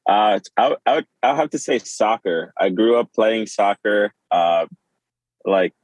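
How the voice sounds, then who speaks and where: A man in his twenties, born in Sudan and raised in Kenya, speaking as a podcast guest in a halting, casual way with many fillers and restarts. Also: lowish voice, lively intonation, no echo. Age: 20s. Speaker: podcast guest, clothing designer. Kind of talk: casual interview.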